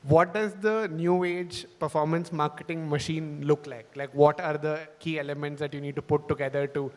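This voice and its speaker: A man's voice: fairly high pitch, steady tone, normal speed. Casual male presenter in a hall. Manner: casual, filler-heavy questions. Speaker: startup presenter. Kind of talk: onstage interview. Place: large event hall. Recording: reverberant hall PA sound.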